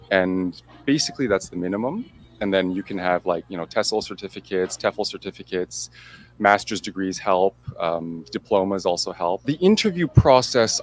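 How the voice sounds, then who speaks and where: A man in an interview explaining things in a matter-of-fact way. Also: on the low side. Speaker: interviewee, English teacher.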